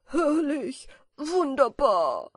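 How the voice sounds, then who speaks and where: Woman playing a giddy, loud young moth. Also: high voice, big pitch swings, drawn-out words, dry recording, clean studio sound, pitched above the performer's own voice, heavily acted. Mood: jubilant. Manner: exclaiming, jubilant.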